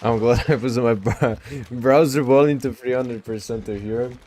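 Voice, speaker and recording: A man's voice: mid-range voice, normal speed, a little hiss or hum. A man from Czechia with a Czech accent, chatting casually with viewers during a live game stream, reading their messages aloud and mumbling short asides. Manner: relaxed, mumbling asides, repeats short phrases.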